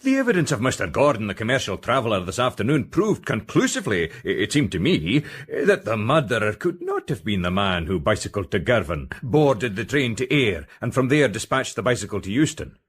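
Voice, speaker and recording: An actor playing an earnest, deferential police sergeant with a Scottish accent, methodical and respectful, in a detective radio drama. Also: male voice, lowish voice, big pitch swings, a little fast, few pauses, slightly rough. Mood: respectful, questioning.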